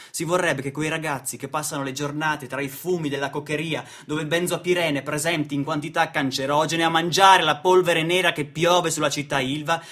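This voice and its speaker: A man delivering a dramatic narrative monologue in deliberate short phrases, like a stage storyteller. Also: a little high, fairly bright tone.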